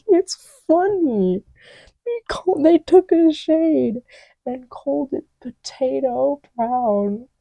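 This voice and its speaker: Giggly, playful girlfriend murmuring at an unhurried pace, acted by a woman. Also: on the high side, mellow, no room sound, exaggerated voice. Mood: giggly, amused. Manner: giggly, rambling, playfully indignant, often dropping to murmurs. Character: giggly, playful girlfriend testing markers on boyfriend's lap. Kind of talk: intimate improvised-sounding ASMR roleplay.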